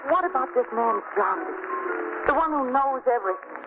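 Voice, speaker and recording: A woman's voice: high voice, expressive, normal speed, music in the background. A woman acting as a wry, plucky companion to an investigator in a 1940s radio mystery, with a wisecracking manner. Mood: wry, brisk. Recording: muffled, noisy old radio recording.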